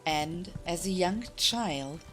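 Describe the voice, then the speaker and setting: A woman's voice: lowish voice, expressive, slow pace, fairly bright tone. A woman audiobook reader narrating a fantasy tale from a script. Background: music.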